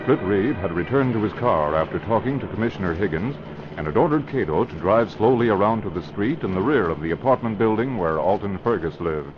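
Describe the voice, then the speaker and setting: A man's voice: fairly low pitch, expressive, fairly quick. A man giving dramatic scripted narration in the manner of 1940s radio crime serials. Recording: muffled old radio recording. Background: music.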